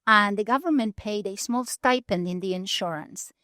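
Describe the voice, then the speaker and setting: A woman from Argentina, a nonprofit leader telling her story in an earnest, measured way with deliberate pauses. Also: mid-range voice, pushed and bright, no echo, studio quality. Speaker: guest, nonprofit CEO. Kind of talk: storytelling interview answers. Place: conference venue.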